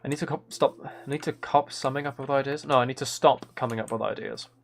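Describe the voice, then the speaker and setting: A man with a mid-pitched voice streams a game and thinks out loud. He rambles casually and keenly from one idea to the next. Some background noise and keyboard clicking sit under his speech. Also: fairly even intonation, quick pace, gravelly. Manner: rambling, thinking aloud, enthusiastic about ideas. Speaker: livestreamer. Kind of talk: casual gameplay stream chat.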